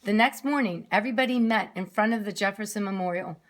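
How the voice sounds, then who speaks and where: A woman's voice: mid-range voice, quick pace. A woman reading a story aloud expressively to young children, as a nursery teacher who explains things simply.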